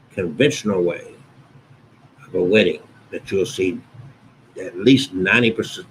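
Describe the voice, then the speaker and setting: A man with a very low, booming voice explains how a ceremony usually runs. He talks plainly and at an easy pace, circling back to restate his points. A faint room hiss sits under the recording. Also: poor audio. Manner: unhurried, conversational, repeats and restates points. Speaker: wedding officiant. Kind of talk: instructional talk to camera.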